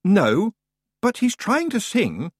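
A man's voice: sits high, wildly swooping pitch, takes it slowly, breathy edge, no echo, voice pushed up, heavily acted. A man voicing an earnest, grimly determined young boy hero in a storybook, with a blunt and serious manner. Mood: questioning.

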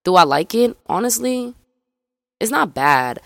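A woman's voice: medium pitch, sing-song, unhurried, creaky, a bit bright, no echo, clean studio sound. A young woman from Memphis with a faded Southern accent, chatting casually and rambling as she introduces her solo podcast. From Memphis, Tennessee, USA. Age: young adult. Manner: chatty, rambling, addresses listeners as y'all. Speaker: podcast host.

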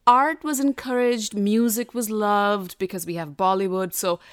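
A woman's voice: mid-range voice, steady tone, normal speed, clear, resonant tone, no room sound. A woman, an actress telling her story as a guest in an easygoing chat. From India. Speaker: guest, actress and audiobook narrator. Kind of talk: casual interview chat.

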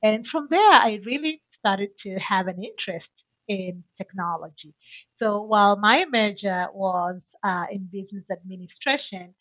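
A woman's voice: medium pitch, lively intonation, unhurried, fairly bright tone, no room sound. Hesitant woman, dull dry recording. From Tanzania. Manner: hesitant, many fillers and restarts. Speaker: podcast guest. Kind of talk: conversational interview answer.

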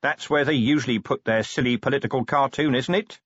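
A man voicing a grumpy, sardonic police commander in an audiobook, with a gruff, dry, sarcastic manner. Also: medium pitch, fairly quick, fairly bright tone, no room sound, lo-fi sound, voice pushed up. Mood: grim. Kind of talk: audiobook character voice.

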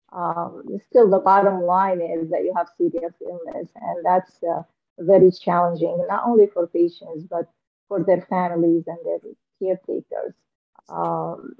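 Guest interviewee: woman from Syria, lowish voice, reflective and hesitant, dull lo-fi recording. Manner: soft-spoken and thoughtful, with many fillers and restarts. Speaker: guest author. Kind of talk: casual interview chat. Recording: lo-fi remote interview audio.

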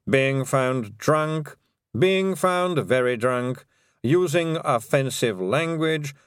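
A man voicing a pompous male lawyer who reads out charges in a slow, formal way. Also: a little high, smooth, no echo, studio quality, voice pushed up, natural voice. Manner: measured, formal.

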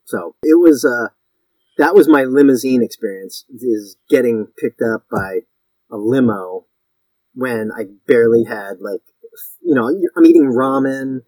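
A man's voice: mid-range voice, unhurried, dark tone, dry recording, low-quality recording. A man in his fifties from Connecticut, a guest actor telling stories in a casual interview, rambling and self-deprecating with many restarts.

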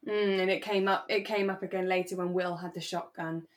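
A woman's voice: lowish voice, steady tone, fairly quick. British woman chatting as podcast co-host. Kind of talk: relaxed chat recapping a radio drama.

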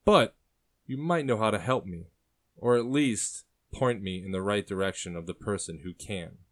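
A stern young traveler in a historical story voiced by a male narrator, low in pitch and direct. He is guarded and proud, wasting few words. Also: moderate pace, fairly bright tone. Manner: plain, direct, terse.

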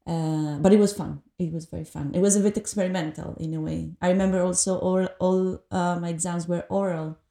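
Mellow-voiced woman. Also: lowish voice, steady tone, vocal fry. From Greece. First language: Greek. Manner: thoughtful, hesitant, many pauses and fillers. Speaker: podcast guest. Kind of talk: reflective conversation. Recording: echoey room.

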